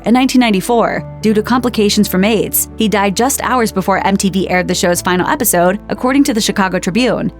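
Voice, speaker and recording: A woman reading scripted documentary-style narration. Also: mid-range voice. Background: background music.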